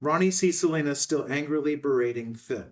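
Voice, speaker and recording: A man's voice: a little high, brisk, far from the mic. A man reading screenplay stage directions as scripted narration. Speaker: stage directions reader at a table read.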